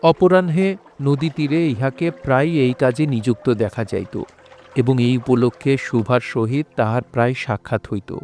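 A man reading a scripted literary story as narrator, in measured, formal diction. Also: mid-range voice, a little mellow. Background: faint music.

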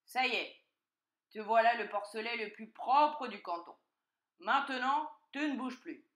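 A woman's voice: on the low side, unhurried, fairly bright tone, reverberant. A woman voicing a hungry, boastful and gullible male fox in a children's storybook, with a gloating, theatrical manner. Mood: triumphant.

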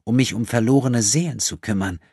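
Indignant righteous nun acted by a man, raised pitch, husky, sharp defensive delivery, audiobook character. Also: fairly quick, dry recording, clean studio sound.

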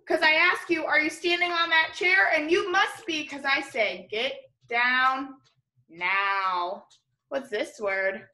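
A young woman teaching small children in a video lesson, animated and encouraging, spelling out and enunciating words as she invites them to repeat. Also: sits high, poor audio. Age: young adult. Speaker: elementary school teacher. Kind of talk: early-grade video lesson to children, call and response. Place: room in a home, indoors.

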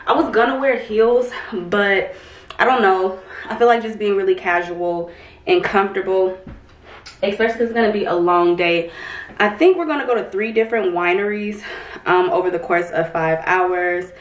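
A woman's voice: medium pitch, normal speed. Young woman vlogging in an echoey room. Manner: chatty and relaxed, many "um" and "like" fillers. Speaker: lifestyle vlogger. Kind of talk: casual chat to camera. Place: bedroom or dressing room at home, indoors. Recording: roomy echo of a bare room.

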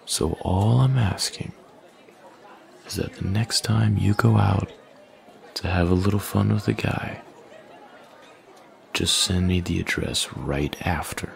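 Man playing a slow, gravelly, menacing young bully. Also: some chatter behind, exaggerated voice. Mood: coldly threatening. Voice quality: low, gravelly, often half-whispered. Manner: slow, taunting, domineering. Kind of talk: boyfriend ASMR roleplay, close and breathy.

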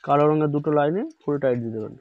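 A man hosting a hands-on repair tutorial, explaining each step slowly and repeating instructions, recorded on a phone indoors. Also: on the high side, no room sound, phone-quality sound. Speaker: repair channel host. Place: indoor workbench.

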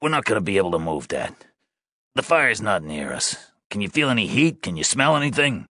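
A man doing a hurt detective who stays calm, his voice hoarse and husky, speaking low and soothing. The recording is dry and close. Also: a little fast, no room sound, full character voice. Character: calm injured male detective with hoarse throat.

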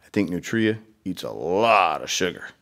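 A man's voice: low voice, animated, normal speed, gravelly, a bit bright. A man reading a children's storybook expressively, with conversational asides to young listeners. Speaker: read-aloud storyteller.

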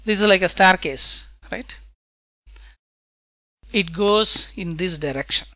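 A man teaching software testing in a screencast lecture, talking step by step and checking in with "right?" after his points. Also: sits high, no echo, muffled recording. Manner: slow, deliberate explaining, tag questions "right?".

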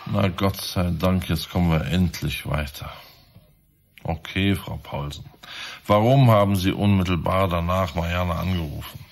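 Sardonic, deep-voiced police inspector. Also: male voice, a little slow, gravelly. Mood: pressing. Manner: probing, sarcastic, insistent. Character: relentless sardonic male police inspector interrogating suspects. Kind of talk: radio crime drama acting.

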